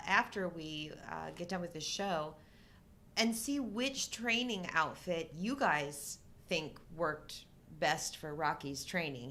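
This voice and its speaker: A middle-aged woman co-hosting a live show, chatting casually about movies and joking in a deadpan way. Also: on the low side, slight background noise. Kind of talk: casual humorous movie-discussion chat.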